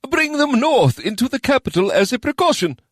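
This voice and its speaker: Brief: narrator playing a jittery elf minister, quavering and heated, pitched well above his own voice. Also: big pitch swings, brisk, dry recording, clean studio sound, heavily acted. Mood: anxious. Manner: quivering, vehement. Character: anxious male elven minister, vehement.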